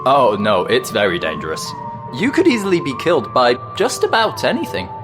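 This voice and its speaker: A man's voice: medium pitch, huge pitch swings, fairly quick, over music. An actor playing a polite, slightly nervous male ghost in an audio drama, chatting in mild understatement. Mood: matter-of-fact. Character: friendly, nervous, polite male ghost, matter-of-fact about danger.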